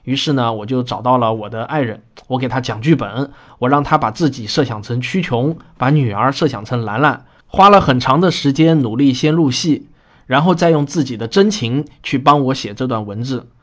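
A man's voice: mid-range voice, normal speed, a bit bright. A man hosting a podcast, addressing listeners in an orderly, explanatory way as he presents scripted show notes. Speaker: podcast host and radio drama writer. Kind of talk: scripted spoken show commentary.

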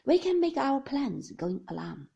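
A woman's voice: high voice, sing-song, measured pace, creaky, dark tone, muffled recording, exaggerated voice. A woman voicing a stern older male magistrate who speaks curtly and commandingly. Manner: curt, commanding.

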